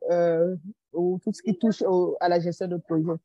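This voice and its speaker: Soft-spoken woman, mellow voice. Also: lowish voice, little pitch movement, moderate pace, dry recording, lo-fi sound. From Burkina Faso. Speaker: workshop participant.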